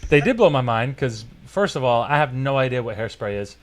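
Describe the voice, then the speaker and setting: A man's voice: medium pitch, lively intonation, brisk. A man from Florida hosting a casual podcast, telling rambling stories with many fillers and frequent laughter. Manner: rambling storyteller, laughs often, filler-heavy. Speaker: podcast host. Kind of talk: casual chat.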